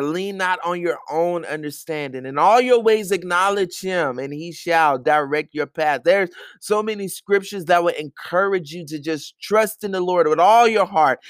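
A man's voice: on the high side, dry recording. A man hosting a podcast, giving an earnest, sermon-like talk of encouragement from his bedroom, repeating phrases for emphasis. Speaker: podcast host.

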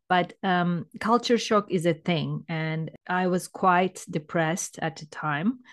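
A middle-aged woman from Turkey, speaking English with a Turkish accent, telling her story hesitantly with many pauses. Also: fairly low pitch. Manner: hesitant, many fillers and restarts. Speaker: guest coach. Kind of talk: personal story in interview.

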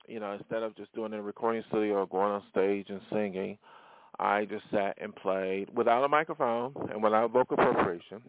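A man's voice: on the low side, slight background noise. A man hosting his own podcast as a musician, rambling casually with many fillers and restarts. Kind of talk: casual solo podcast talk. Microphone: phone or voice-message recording. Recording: dry, phone-like lo-fi sound.

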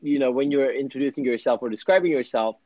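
A man's voice: medium pitch, fast talker, dark tone, no room sound. A man hosting a casual interview, enthusiastic and full of fillers. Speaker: host. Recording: dry, dull lo-fi sound.

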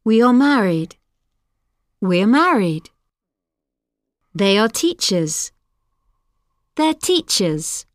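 A woman's voice: mid-range voice, sing-song, very slow, pauses often, no echo, clean studio sound. A woman reading example sentences for language learners, each one carefully enunciated. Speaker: course audio speaker. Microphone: dry studio mic.

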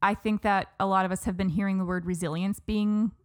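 A woman's voice: medium pitch, steady tone, fairly quick. Chatty giggly woman hosting a podcast. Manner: giggly, chatty, trails off with fillers. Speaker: podcast host. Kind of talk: casual chat.

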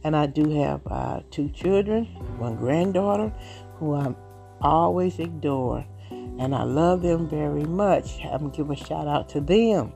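A woman's voice: sits low, measured pace, vocal fry, soft background music. Earnest Southern woman in her late fifties. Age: 50s. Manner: earnest, rambling, warm-hearted testimony. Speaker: podcast host. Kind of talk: casual talk.

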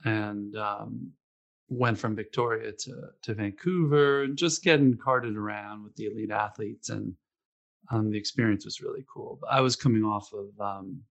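A man's voice: lowish voice, steady tone, normal speed, gravelly. A man hosting a casual interview, talking loosely with many fillers and quoted asides. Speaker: podcast host, former runner.

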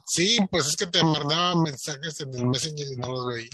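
A man's voice: a little high, normal speed, a crowd in the background. A man hosting a podcast in casual chat, rambling and filled with filler words. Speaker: podcast host.

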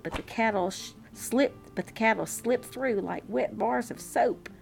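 A woman reading a children's story aloud in an expressive, unhurried, amused manner, with chatty asides. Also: mid-range voice, gravelly. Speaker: children's story-time reader. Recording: classical violin music bed. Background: classical violin concerto background track.

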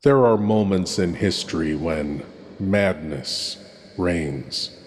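Male horror show host, slow ominous delivery, long reverb. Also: deep voice. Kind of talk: theatrical scripted introduction.

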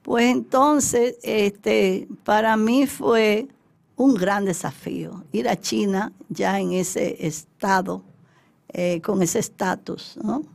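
A woman's voice: mid-range voice, slow pace, vocal fry, a little mellow. A middle-aged or older woman with a Dominican Spanish accent, telling her story emphatically in an interview and acting out remembered exchanges. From Dominican Republic. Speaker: interview guest, former representative of her country in China.

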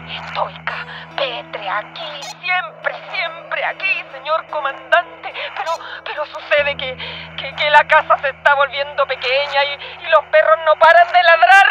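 A high-pitched, aging former police commander, desperate and forgetful. She stammers and repeats her pleas in radio-theatre style. The recording is dull and lo-fi, with loud music underneath. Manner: halting, repetitive, pleading, with stammered restarts.

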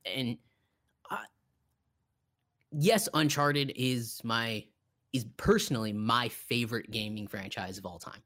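A man with a mid-pitched voice speaks as a podcast co-host in casual conversation. He rambles and halts, restarting his thoughts and repeating phrases to drive a point home. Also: fairly bright tone. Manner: rambling, halting, repeats himself for emphasis. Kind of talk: casual film review chat.

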